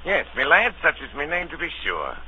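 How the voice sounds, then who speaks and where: An actor playing a jovial, sly old one-legged sea cook, with a salty sailor's drawl and folksy, ingratiating manner in old radio style. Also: male voice, fairly high pitch, huge pitch swings, normal speed, breathy edge, heavily acted. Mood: genial, coaxing. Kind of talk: 1930s radio drama acting. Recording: dull, muffled vintage radio broadcast.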